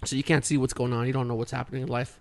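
A man's voice: medium pitch, level tone, very fast. Profane rambling storyteller man. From Canada. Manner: rambling, profane, restarts often. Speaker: podcast co-host. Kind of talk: casual storytelling.

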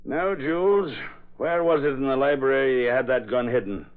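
An actor playing a gruff, bossy police sergeant, authoritative and slangy, in an old radio crime drama. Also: male voice, mid-range voice, moderate pace, lightly acted. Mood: bossy, impatient. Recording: tinny vintage radio recording.

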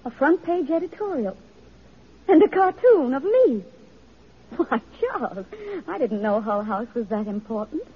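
A woman's voice: high voice, big pitch swings, measured pace, husky, full character voice. An actress in an old-time radio drama playing an earnest, determined woman reformer with a genteel, emotive, pleading manner. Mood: polite, composed. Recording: dull vintage radio recording, noisy, crowd behind.

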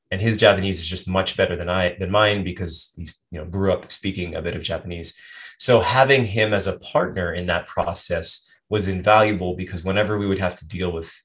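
A man's voice: low voice, a bit bright. An American man, a health coach, answering interview questions in a thoughtful, rambling way with frequent restarts and asides. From United States. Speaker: guest, health and performance coach.

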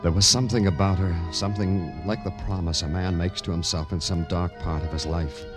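An American actor playing a brooding, terse police detective with a wistful streak in an old radio crime drama. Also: male voice, low-pitched, fairly even intonation, a little fast, over music, natural voice. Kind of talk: 1940s-50s radio crime drama acting.

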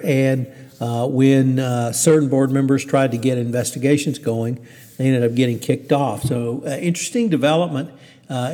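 A man hosting a news podcast, reading and commenting on stories in a conversational, halting way. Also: medium pitch, mellow. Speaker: podcast host. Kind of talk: news commentary, semi-scripted. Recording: hall-like reverberant room.

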